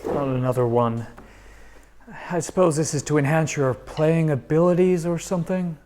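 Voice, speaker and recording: A man acting a sarcastic, unimpressed young man among rock musicians in a sitcom table read, with sardonic, hesitant pauses. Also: a little high, a little mellow, slight background noise, lightly acted.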